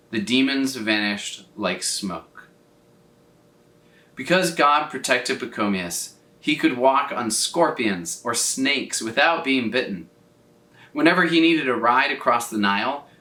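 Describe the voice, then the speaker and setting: A man reading a children's story aloud in an expressive, scripted style, with dramatic phrasing and drawn-out words. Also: medium pitch. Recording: roomy echo, distant from mic.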